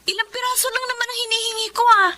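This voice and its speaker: A woman's voice: very high-pitched, steady tone, fast, sharp tone, slight background noise. A woman playing a caring but outspoken poor young wife in a Filipino drama, earnest and plaintive. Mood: hurt, indignant. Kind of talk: Filipino radio-style drama acting.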